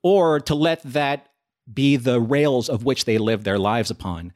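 A man speaking as a podcast panelist in a reflective discussion, earnest and philosophical, with measured phrasing. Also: mid-range voice, darkish tone.

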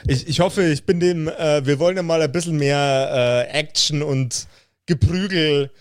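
A man co-hosting a podcast in casual chat, halting as he searches for words. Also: on the high side, no echo, studio quality. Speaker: podcast host.

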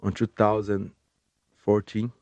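A young man with a Brazilian Portuguese accent, a podcast guest speaking halting English and often restarting words. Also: lowish voice, level tone, darkish tone, no echo. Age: young adult. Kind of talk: casual interview chat.

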